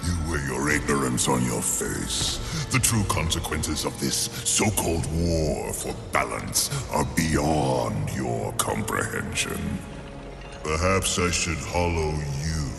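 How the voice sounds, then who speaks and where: An actor playing an ancient, imperious underworld lord in a fantasy game, speaking in a grandiose, measured, contemptuous manner. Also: male voice, rumbling low, lively intonation, gravelly, full character voice. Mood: scornful, menacing. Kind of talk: theatrical fantasy game cutscene acting. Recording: long cavernous reverb, music underneath.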